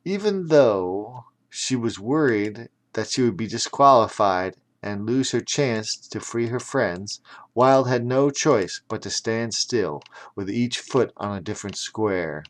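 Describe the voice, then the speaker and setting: A man's voice: on the low side, lively intonation, takes it slowly. A man narrating a children's audiobook theatrically, breaking off for chatty explanatory asides.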